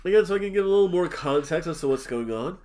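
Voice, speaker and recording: A slightly high-voiced man from New Jersey, stuffed up and nasal, talks loosely and jokily. He rambles between thoughts, the way a relaxed podcast co-host does. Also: fast talker. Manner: rambling, joking, drinking while talking. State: nasal and sniffly from allergies. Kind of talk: casual chat.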